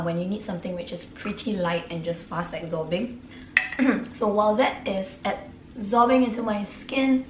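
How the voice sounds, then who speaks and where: A woman from Singapore with a hoarse, raspy voice from a sore throat, chatting casually through her nightly skincare products and often clearing her throat. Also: mid-range voice, echoey room, slight background noise, poor audio. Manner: chatty, filler-heavy, keeps clearing throat. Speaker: beauty vlogger. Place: room.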